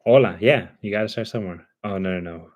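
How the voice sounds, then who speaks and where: A man's voice: fairly low pitch, fast. A man with a Cuban accent, hosting a livestream in easygoing chat, rambling with plenty of ums and uhs. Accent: Cuban Spanish. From Cuba. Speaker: livestream host. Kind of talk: casual chat.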